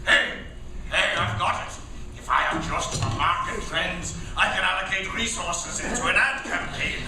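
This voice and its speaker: Manic mad scientist boss, very high piercing voice, theatrical exclamations, comic radio ad, very echoey. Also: male voice, sing-song, normal speed, sharp tone, exaggerated voice. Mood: gleeful, scheming. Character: manic male mad scientist marketing boss, gleefully megalomaniac. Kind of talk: comic radio advertisement acting. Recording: roomy, distant live radio stage, faint noise.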